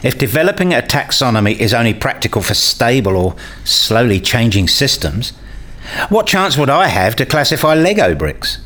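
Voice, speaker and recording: A man's voice: mid-range voice, sing-song, moderate pace, fairly bright tone. A man playing an inquisitive hobbyist in a radio play, questioning and reasoning aloud. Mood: worried.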